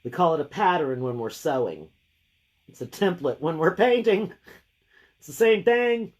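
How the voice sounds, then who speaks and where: A woman hosting a live craft painting session. She has a deep, gravelly voice left over from a cold, and she chats and thinks aloud while she works. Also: lively intonation, normal speed, a little mellow. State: lingering gravelly voice after a cold. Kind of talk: casual live painting demo.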